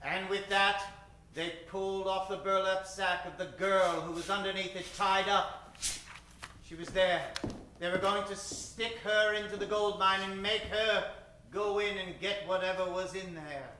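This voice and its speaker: High-pitched man narrating radio melodrama. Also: fairly even intonation, measured pace, sharp tone, a little hiss or hum. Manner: suspenseful, repeats phrases for drama. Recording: echoey stage, music behind.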